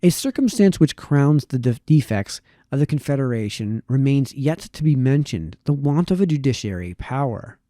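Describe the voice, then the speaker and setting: A man reading a formal historical text aloud in a measured way, now and then stumbling over a word. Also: mid-range voice, big pitch swings, mellow, dry recording, clean studio sound. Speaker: reader.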